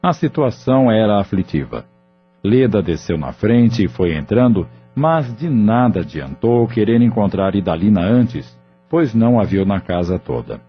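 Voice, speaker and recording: A man narrating an audio drama in a steady, measured way. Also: fairly low pitch, slightly rough. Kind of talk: scripted narration. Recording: dull lo-fi audio with faint music.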